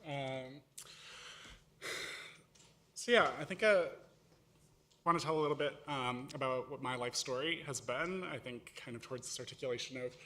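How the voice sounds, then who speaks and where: A man's voice: medium pitch, moderate pace, pauses often, pushed and bright, slight background noise. A man from Morocco speaking as a panelist, casually telling his life story with frequent fillers.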